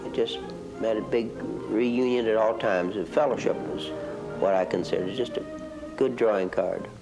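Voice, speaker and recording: An older man who played saxophone in the band, fondly and haltingly recalling the old days. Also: on the low side, music in the background, rough recording. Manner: fond, halting recollection. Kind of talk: archival interview reminiscence.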